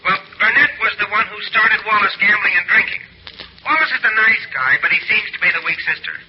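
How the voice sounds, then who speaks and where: An actor playing an eager, streetwise detective's assistant in an old radio drama, slangy and brisk in his reporting. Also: high voice, lightly acted. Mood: alert. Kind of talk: 1940s radio acting. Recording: muffled, noisy old radio recording.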